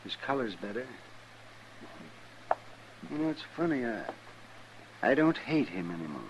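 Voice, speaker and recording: An American man playing an older, soft-hearted frontier doctor in a 1950s radio western, halting and gentle. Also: mid-range voice, big pitch swings. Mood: regretful. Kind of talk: 1950s radio drama acting. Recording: noisy old radio broadcast.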